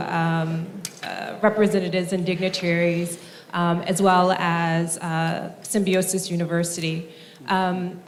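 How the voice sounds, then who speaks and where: A young woman moderating a university panel discussion in a formal, measured way, with frequent ums. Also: fairly low pitch, slight background noise. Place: university conference hall.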